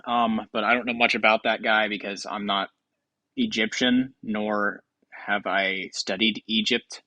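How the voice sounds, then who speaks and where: A man's voice: on the low side, steady tone, a little slow, sharp tone, no room sound. A man hosting a game show with casual, improvised commentary in a rambling, offhand way.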